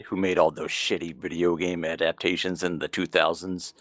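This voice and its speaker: A man's voice: deep voice, little pitch movement, moderate pace, fairly bright tone, no echo. A man co-hosting a casual chat in a blunt, scornful manner. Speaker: podcast co-host.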